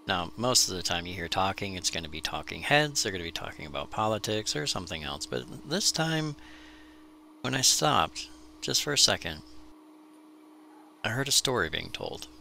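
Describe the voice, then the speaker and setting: A deep male voice with a creaky, gravelly grain narrates a maker video. He delivers scripted storytelling in deliberate phrases, with a crisp, bright edge. Faint noise sits behind the voice-over. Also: sing-song.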